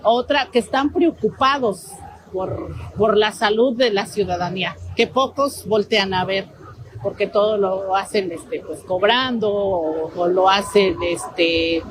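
A woman's voice: medium pitch, slow pace, a crowd in the background, poor audio. A woman with a Mexican accent, a local merchant giving a spontaneous interview statement, hesitant with many fillers and restarts. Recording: field recording with clear background noise.

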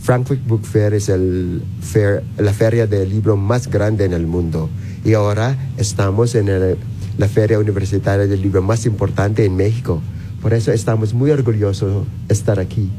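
Clear background noise surrounds a low-pitched man from the Philippines. He answers as an interviewed ambassador, halting now and then as he looks for words in a language he learned later. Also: steady tone. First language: Filipino. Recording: clearly noisy ambience behind the voice.

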